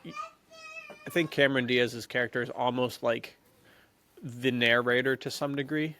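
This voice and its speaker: A man's voice: medium pitch, wildly swooping pitch, measured pace, sharp tone, no room sound. An American man chatting casually and enthusiastically as a podcast co-host about action films, in slangy, easygoing speech. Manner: enthusiastic, slangy, laughs easily.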